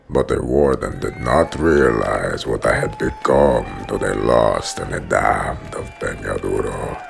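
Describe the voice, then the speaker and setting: A man playing a brooding, menacing prison-hardened villain who narrates his origin in a gravelly, solemn, deliberate voice for a comic dub. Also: very deep voice, heavily acted. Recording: music behind the voice.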